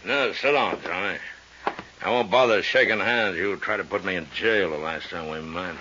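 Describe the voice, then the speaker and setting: Man playing a very deep, gruff American racketeer. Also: moderate pace, noisy background, natural voice. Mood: weary, gruff. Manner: blunt, slangy tough-guy talk. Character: gruff, shifty, world-weary male gambling-joint boss, ex-bootlegger. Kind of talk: 1950s radio drama acting. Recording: dull old radio recording.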